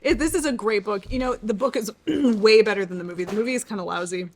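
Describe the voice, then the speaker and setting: A woman's voice: mid-range voice, quick pace, a little mellow, a little hiss or hum. Casual, enthusiastic woman chatting playfully. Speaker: co-host of a book channel. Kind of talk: casual playful chat, book guessing game.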